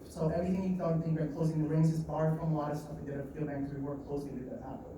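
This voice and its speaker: A man in his late twenties giving a live talk at a design meetup. He talks fast and a bit nervously, with lots of fillers and restarts. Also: a little high, level tone. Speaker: guest speaker at a design meetup. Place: meeting room in an office. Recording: echoey room, distant mic, background noise.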